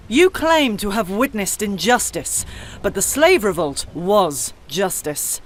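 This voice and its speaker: Woman voicing a stern god, slow and solemn. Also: mid-range voice, a little hiss or hum, pitched above the performer's own voice, close to an everyday voice. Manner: solemn, declamatory rebuke. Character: stern male god of mercy, righteous and unyielding judge.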